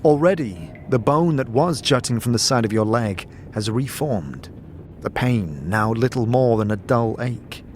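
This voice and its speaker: A man narrating a dark fantasy audio drama in the second person, with measured, solemn, dramatic storytelling. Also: mid-range voice, lively intonation, slight background noise. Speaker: narrator and game master. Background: music.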